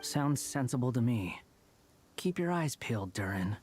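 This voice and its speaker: A man's voice: medium pitch, big pitch swings, measured pace, darkish tone. An actor playing an aloof young male wanderer in a video game, with a dry, sarcastic, teasing delivery. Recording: game music behind.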